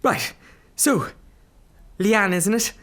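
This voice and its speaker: A man playing a bumbling, nervous GP, awkwardly over-reassuring, in a dark comedy radio play. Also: sits high, very slow, full character voice. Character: flustered, bumbling, nervous Irish GP.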